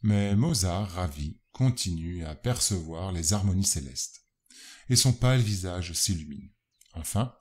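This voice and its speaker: A man reading scripted educational narration in a formal, measured, literary manner. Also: deep voice, darkish tone. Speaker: narrator of a spiritist study guide.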